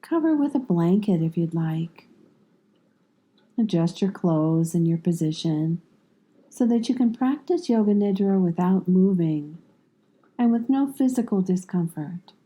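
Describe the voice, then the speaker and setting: A woman leading a guided meditation as a yoga nidra instructor, giving calm, soothing instructions in measured phrases with long pauses. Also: lowish voice.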